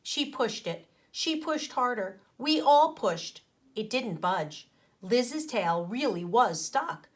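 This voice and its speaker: A woman teacher reading a storybook and explaining to children in an expressive, emphatic way. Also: fairly high pitch, slow pace. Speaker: teacher reading aloud to pupils.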